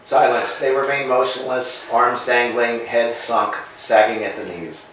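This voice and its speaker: A man reading a play's stage directions aloud in a measured, plain way. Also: mid-range voice, fairly even intonation, a bit bright. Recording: echoey room, from a distance, faint noise.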